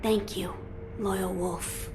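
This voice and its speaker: A woman playing a gentle, resolute young noble boy in a game cutscene, speaking formally and earnestly. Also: medium pitch, fairly even intonation, extremely slow, over loud music. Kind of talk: dramatic game cutscene acting.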